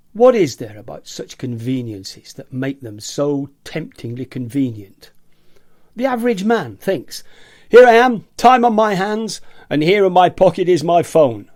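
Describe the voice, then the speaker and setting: An amateur actor playing a jaded, agitated prisoner who rants about machines in a theatrical, emphatic way, as in a radio play. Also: male voice, a little high, a little mellow. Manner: theatrical, emphatic, ranting with repetition.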